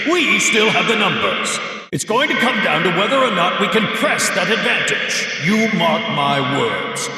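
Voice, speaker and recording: An actor playing a bombastic, pompous middle-aged arena owner in a video game, announcing fights in grandiose, florid speech. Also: male voice, high-pitched, pushed and bright, heavily acted. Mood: pompous analysis. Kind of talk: theatrical video game voice acting, ringside commentary. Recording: echoing hall, distant reverberant voice.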